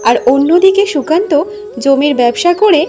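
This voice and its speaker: A woman's voice: high voice, animated, normal speed, over music. A woman narrating a cartoon folk story as a scripted storybook tale.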